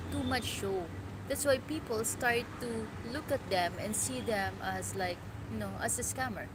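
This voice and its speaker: A woman from the Philippines with a Filipino accent talks casually to her followers, halting and often checking in with an "okay". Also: on the high side. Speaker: vlogger. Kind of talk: casual opinion talk to followers. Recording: loud background noise, vehicle and outdoor ambience.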